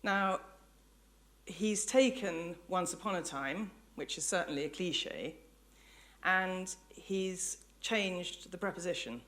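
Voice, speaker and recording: A woman's voice: lowish voice, takes it slowly, fairly bright tone. Reflective English woman giving a lecture. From England. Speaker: lecturer, professor. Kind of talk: public academic lecture. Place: lecture hall before an audience.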